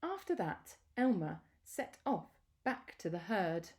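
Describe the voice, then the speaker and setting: A woman reading a children's picture book aloud in a deliberate, expressive storytelling manner with pauses. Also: mid-range voice.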